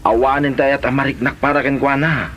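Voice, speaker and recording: A man playing an excitable, defensive fellow in an Ilocano comedy drama. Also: medium pitch, brisk, noisy background, natural voice. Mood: defensive, flustered.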